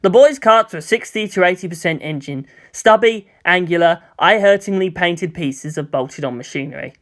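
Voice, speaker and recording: A narrator reading a scripted story in an expressive way, with dramatic emphasis. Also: mid-range voice, normal speed. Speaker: audiobook narrator. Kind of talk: scripted narration of fan fiction.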